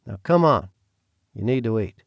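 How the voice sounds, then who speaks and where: A man voicing a steady, protective man who speaks calmly and firmly. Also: lowish voice, normal speed, creaky, no echo, clean studio sound. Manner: calm, firm.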